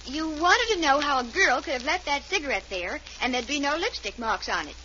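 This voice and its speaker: An actress playing an eager, admiring secretary to a detective in an old-time radio drama, obliging and deferential. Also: female voice, high voice, expressive, brisk, pushed and bright. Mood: dutiful. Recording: muffled, hissy vintage radio broadcast recording.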